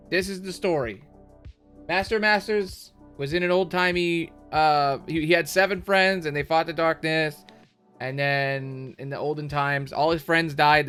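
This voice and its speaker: A man giving casual, slangy live commentary as a streamer, thinking out loud. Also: fairly high pitch. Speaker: streamer reacting to a game story. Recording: music playing behind the voice.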